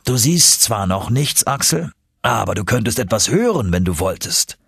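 A man voicing a confident, eager older professor for an audiobook, husky and emphatic, as if lecturing. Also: lowish voice, big pitch swings, normal speed, a bit bright, no echo, clean studio sound, heavily acted. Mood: assured.

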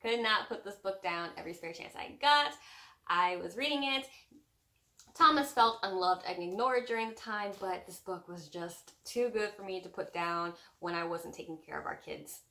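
A woman's voice: fairly low pitch, moderate pace, pushed and bright. A young woman chatting casually about books as a co-host of a home video. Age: young adult. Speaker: book vlogger co-host. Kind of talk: casual chat about books, reading out tag prompts. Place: small room at home with bookshelves. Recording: roomy echo from camera distance.

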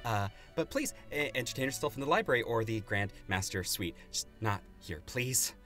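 A man's voice: medium pitch, lively intonation, normal speed, sharp tone, faint music behind. A man voicing a flustered, polite servant who stammers as he shoos guests away, in a tabletop game.

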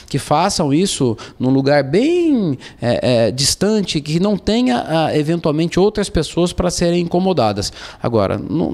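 Formal, halting middle-aged Brazilian man. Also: a little high, darkish tone. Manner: formal, halting with hesitant pauses. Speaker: official being interviewed. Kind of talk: explanatory interview answers. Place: indoors against a plain wall. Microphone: handheld interview microphone held to him.

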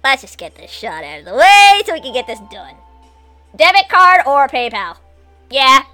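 Bright, high-voiced young woman streaming. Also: moderate pace. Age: 20s. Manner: foul-mouthed, excitable. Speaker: live game streamer. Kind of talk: casual gameplay commentary and chat with viewers. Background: video game music and sound.

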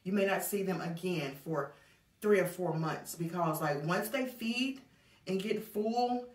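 A woman's voice: on the low side, a little slow. Chatty woman vlogging, roomy echo. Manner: chatty, addresses viewers as y'all. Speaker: home vlogger. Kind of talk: casual chat to camera. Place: small indoor hallway, later a child's bedroom. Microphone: camera on a stand a few feet away. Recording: echoey room, voice from a distance.

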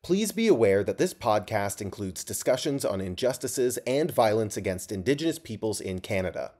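A man's voice: fairly low pitch, sing-song, normal speed, a little mellow. A man reading a scripted podcast introduction as the announcer.

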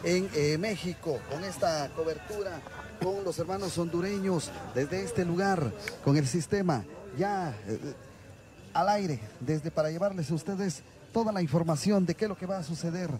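A man's voice: fairly high pitch, a crowd in the background. A man reporting live from outdoors at night, hesitating often with filler sounds. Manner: halting, many "eh" fillers. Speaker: field reporter. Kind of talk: live field report and interview. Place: outdoors at a border town at night.

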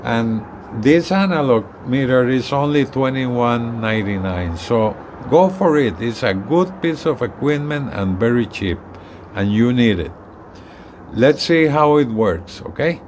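A man in his sixties giving a casual, plain-spoken, step-by-step product demonstration with halting phrasing. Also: medium pitch, expressive, darkish tone. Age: 60s. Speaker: gadget reviewer. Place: outdoors on a residential balcony. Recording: clear outdoor ambient noise.

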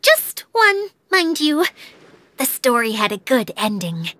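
A woman's voice: high voice, very animated, slow, fairly bright tone, no room sound, heavily acted. A woman playing a dainty, theatrical young woman in a video game, coy and airy, bashful yet pointed. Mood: coyly critical.

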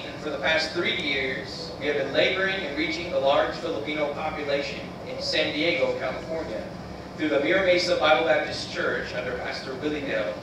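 A man in his thirties from the United States, giving an earnest, measured missionary presentation. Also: a little high. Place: church sanctuary, voice played on screen video. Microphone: recorded from room speakers by far camera. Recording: roomy, distant, noisy church playback.

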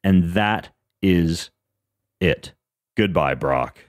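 A man hosting a podcast in casual chat, rambling through lists with a fairly even, monotone delivery. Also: deep voice, drawn-out words, dry recording, clean studio sound. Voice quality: self-described monotone. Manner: rambling, lists things off, frequent fillers and restarts. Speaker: podcast host.

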